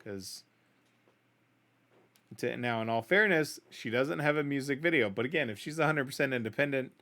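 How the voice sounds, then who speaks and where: Casual reaction host: middle-aged man, bright, forward voice, conversational and opinionated. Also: mid-range voice, normal speed. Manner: conversational, opinionated, often checking in with "right?". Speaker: host of a music reaction channel.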